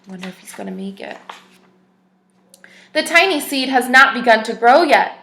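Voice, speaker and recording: Bright, expressive woman teacher reading aloud. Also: a little high, moderate pace, reverberant, a little hiss or hum. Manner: expressive, asks the young listeners questions. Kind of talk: reading a picture book aloud to children.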